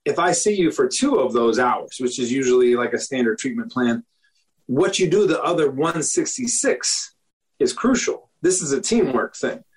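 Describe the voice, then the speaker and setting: A man in his forties, a doctor, talking casually in an interview, often checking in with "okay?" and "you know?" and clearing his throat now and then. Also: medium pitch, sing-song, moderate pace, low-quality recording. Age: 40s. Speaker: doctor, guest.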